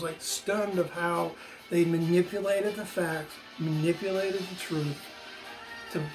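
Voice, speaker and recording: Higher-pitched older American man, music behind. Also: rough recording. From United States. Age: 60s. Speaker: video channel host, former teacher. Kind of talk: opinionated explanatory monologue to viewers.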